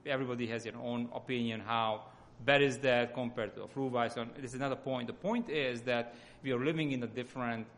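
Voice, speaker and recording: A man with a Hungarian accent gives an informal sermon as a lay church speaker, often halting as he searches for words. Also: medium pitch, fairly even intonation, fairly bright tone.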